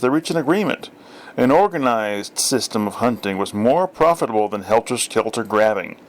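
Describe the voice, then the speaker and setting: Man narrating a story, medium pitch. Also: low-quality recording. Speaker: audiobook reader.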